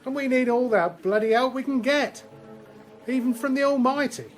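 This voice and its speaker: Man playing a high-pitched, blunt army sergeant. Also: normal speed, soft background music. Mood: gruff, relenting. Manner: barking, blunt orders. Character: gruff commanding male First World War army sergeant. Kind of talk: radio drama acting.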